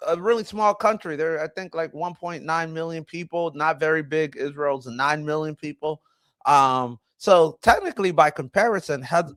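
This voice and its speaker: Guy in his 40s streaming, rambly, keeps talking to chat, slightly high voice, dry mic. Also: a bit bright, dry recording. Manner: rambling, talks to chat, self-correcting. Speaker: livestream host. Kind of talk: casual livestream commentary.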